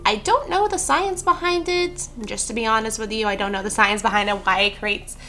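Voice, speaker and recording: A woman with a fairly high voice talks through a nail art tutorial in a casual, rambling way. She drifts into easygoing asides about herself as she works. Soft music plays faintly underneath. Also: a little fast. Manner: chatty, rambling, self-deprecating asides. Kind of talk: casual how-to narration.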